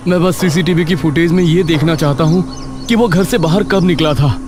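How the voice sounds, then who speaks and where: A man's voice: on the high side, pitched above the performer's own voice, lightly acted. A man voicing an anxious young man searching for his missing friend, talking urgently and in a rush. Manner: urgent, rushed, nervous. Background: background music.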